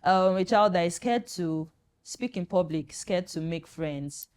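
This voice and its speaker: A woman's voice: on the low side, a little slow, a little mellow, clear, resonant tone. Earnest woman doctor, reassuring. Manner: earnest and reassuring, with many "you know" fillers. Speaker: pediatric doctor and guest. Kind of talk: explaining to parents in a panel chat.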